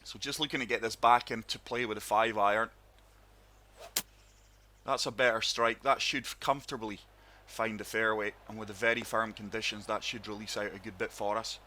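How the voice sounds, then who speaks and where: A man with a sore throat, hosting his gaming channel and narrating a golf game in calm, steady play-by-play. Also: fairly low pitch, moderate pace, slight background noise. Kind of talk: casual gameplay commentary.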